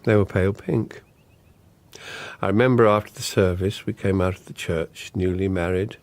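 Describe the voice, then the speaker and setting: An older politician in a radio drama, diffident and bereft. His low voice is formal and measured, recorded close and dry. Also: fairly even intonation, no echo. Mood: wistful.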